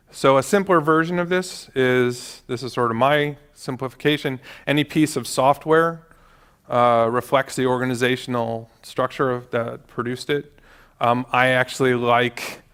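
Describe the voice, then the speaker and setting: A man who is a vice president of engineering gives a conference talk in a calm, measured way, with frequent ums and uhs. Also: mid-range voice. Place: conference stage before an audience.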